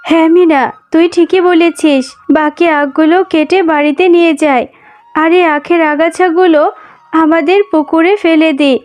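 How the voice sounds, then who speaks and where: A woman voicing a kindly, humble mother fox in a cartoon, gentle and a little plaintive. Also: very high-pitched, steady tone, normal speed, faint music behind. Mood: resolved. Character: kindly worried mother fox, humble sugarcane-juice seller. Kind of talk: cartoon voice acting.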